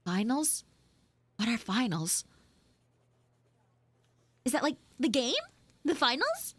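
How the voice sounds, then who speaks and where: A woman voicing a bratty, dramatic tsundere anime girl in a live improvised audio roleplay, theatrical and whiny, with drawn-out words. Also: on the high side, very animated, a bit bright, husky, dry recording, exaggerated voice. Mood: playful, chatty. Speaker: streamer, voice actor.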